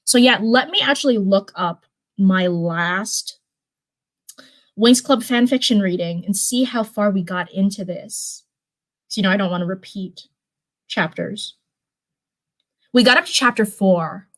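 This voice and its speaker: A woman's voice: mid-range voice, measured pace, pauses often, a bit bright. Casual, rambling woman streamer. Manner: rambling, informal, repeats herself. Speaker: streamer reading viewer-submitted fan fiction. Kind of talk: casual livestream chat and read-aloud storytelling.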